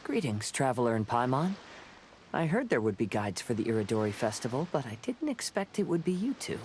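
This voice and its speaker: An actor playing a gentle, courteous young wandering samurai in a video game, calm and poetic. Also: male voice, medium pitch, fairly quick, slight background noise, natural voice. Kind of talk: English video game character acting.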